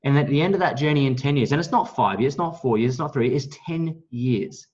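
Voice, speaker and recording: A man giving a motivational business pitch, speaking in an emphatic, blunt, salesy way with some mild swearing. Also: quick pace, darkish tone. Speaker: network marketing leader, guest speaker on a team call. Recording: dull, lo-fi call audio.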